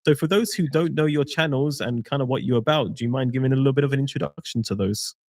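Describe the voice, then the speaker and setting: A man's voice: medium pitch, quick pace, a little mellow. A man hosting a live game-company stream as community manager, chatty and welcoming.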